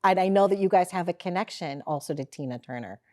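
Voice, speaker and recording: A woman's voice: fairly low pitch, lively intonation, fast talker, a little mellow. A woman from St. Louis hosting a talk show, asking her guests questions in a gushing, effusive way. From St. Louis, Missouri. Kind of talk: casual interview.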